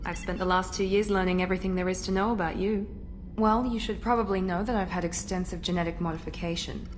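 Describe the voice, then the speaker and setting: Game role: woman as coolly businesslike female operative, self-assured, slightly low, faint background ambience. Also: fairly even intonation, fast, a little hiss or hum, lightly acted. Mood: confident, businesslike. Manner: confident, matter-of-fact, formal. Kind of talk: video game dialogue acting.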